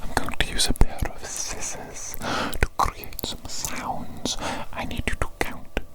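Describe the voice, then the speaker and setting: Woman playing a slowly whispering evil doctor. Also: natural voice, low-quality recording. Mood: hushed, instructing, praising. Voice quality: breathy, unvoiced whisper. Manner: slow, deliberate, repetitive, praising. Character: evil doctor calmly examining a big creature. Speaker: ASMR roleplay performer. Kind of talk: whispered ASMR medical roleplay.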